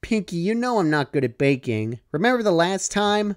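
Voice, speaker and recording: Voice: mid-range voice, very animated, moderate pace, voice pushed up, natural voice. A narrator voicing a brash young female pegasus who talks in a casual, slangy, offhand way. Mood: reluctant.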